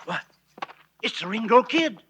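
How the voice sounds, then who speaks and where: A squeaky, jumpy stagecoach driver. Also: male voice, measured pace, slight background noise, exaggerated voice. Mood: hollering. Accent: American. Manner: folksy, excitable. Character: jumpy, folksy male frontier stagecoach driver. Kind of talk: 1940s radio western acting. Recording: old 1940s radio recording.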